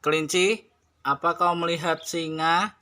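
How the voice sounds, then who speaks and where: An earnest goat from a puppet fable acted by a young man, with a bright, forceful voice. It questions and explains with emphasis. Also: a little high, fairly even intonation, unhurried. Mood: challenging. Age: young adult. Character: earnest goat defending a misjudged lion. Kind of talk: puppet-show fable dialogue.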